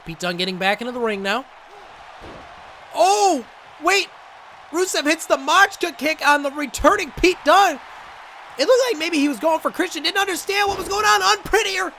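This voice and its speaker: A young man doing excitable play-by-play commentary over a wrestling video game, coughing and saying he is losing his voice. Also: very high voice, moderate pace, vocal fry, slight background noise. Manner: excitable, rising to shouts at big moments. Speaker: gaming channel host and commentator.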